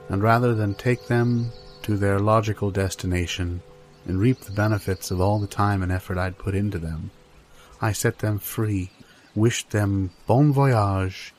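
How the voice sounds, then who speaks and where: A man delivers absurd improvised comedy with dry, theatrical solemnity. His voice is fairly low and unhurried. Soft plucked guitar plays behind him. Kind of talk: deadpan improvised comic monologue. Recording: music behind the voice.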